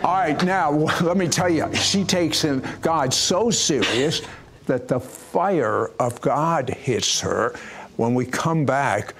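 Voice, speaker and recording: A man hosting a religious talk show, asking lively leading questions and building suspense around his guest's story. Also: fairly high pitch, takes it slowly, music in the background. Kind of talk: interviewing a guest.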